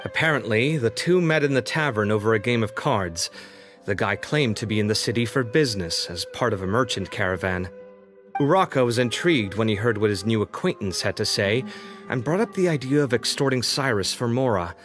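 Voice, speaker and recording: A man's voice: fairly low pitch, lively intonation, fairly quick, a bit bright. A man playing a young male scholar who talks in a dry, matter-of-fact way. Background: game music.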